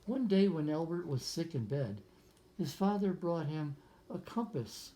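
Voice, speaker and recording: A physicist reading a picture book aloud to young children, gently explaining things and adding musing asides and questions. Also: medium pitch, lively intonation, a little slow, rough recording.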